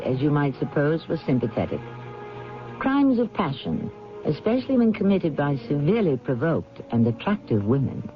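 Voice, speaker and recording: A man hosting a 1970s radio mystery, narrating in an urbane, wryly formal and theatrical way. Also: a little high. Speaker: host. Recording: dull vintage radio recording, music behind.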